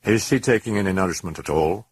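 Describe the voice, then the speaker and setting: A man's voice: low-pitched, fast talker, husky, no room sound, clean studio sound. A man voicing a sceptical, controlled priest in an audiobook, terse and questioning. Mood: probing. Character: sceptical, probing male priest, controlled and firm. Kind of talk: audiobook character voice.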